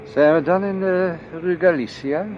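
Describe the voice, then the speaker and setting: An actor playing a polite, soothing abductor in a radio play. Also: male voice, high-pitched, measured pace. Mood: falsely soothing. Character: smooth-talking abductor soothing his drugged female victim. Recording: dull recording with loud car noise.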